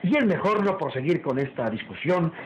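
An actor playing a stern, proud master of the house in an old radio drama, speaking formally and emphatically. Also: male voice, on the high side, lively intonation, normal speed, natural voice. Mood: stern, lofty. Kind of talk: old Latin American radio drama acting. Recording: muffled old radio serial, background noise.

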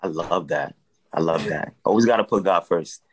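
A man in his thirties with a lowish voice co-hosts over a video call from his home. He talks in an upbeat, affirming way and keeps repeating his praise. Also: normal speed, slightly rough, poor audio. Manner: enthusiastic, repeats "I love that". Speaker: podcast co-host. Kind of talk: casual podcast interview.

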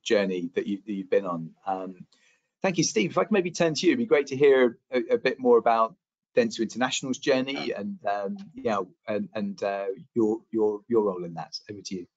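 A man hosts a panel on a video call, pausing often as he hands over to guests. His voice sits fairly low. The audio is dry and dull, from a laptop mic. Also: no echo. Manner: hesitant, many fillers and restarts. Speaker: webinar host and moderator. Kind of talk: hosting a panel discussion.

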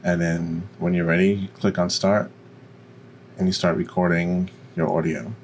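A man with a deep voice giving an informal, explanatory software tutorial. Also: little pitch movement, moderate pace, a little hiss or hum. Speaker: tutorial presenter.

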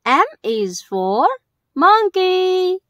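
A woman's voice: very high-pitched, sing-song, drawn-out words, a bit bright, no echo, muffled recording. A woman hosting a children's educational video, speaking in simple short phrases and stressing the key words. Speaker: host of a children's alphabet video.

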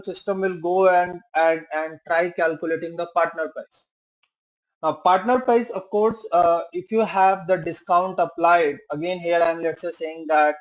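A man teaching a software tutorial, working through examples step by step with rhetorical questions, fillers and self-corrections. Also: sits high, rough recording. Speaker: software trainer. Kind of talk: screen-share tutorial walkthrough.

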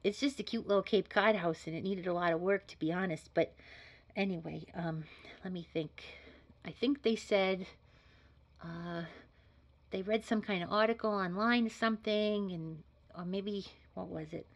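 Slightly dull recording, mid-pitched female voice, earnest chatty job applicant, hesitant, informal, audio drama acted by a woman. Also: normal speed, natural voice. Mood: chatty, sheepish. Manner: hesitant, rambling, informal, polite. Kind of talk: naturalistic scripted audio drama dialogue.

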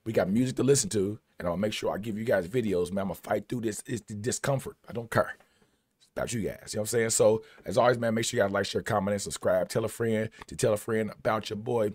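A man in his thirties hosting a music reaction video, with a husky, strained voice from losing it over several days, talking in a laid-back, slangy way with drawn-out phrases. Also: on the low side, a little mellow, no room sound. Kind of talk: casual reaction commentary. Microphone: headphones on, desk camera. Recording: music playing faintly behind.

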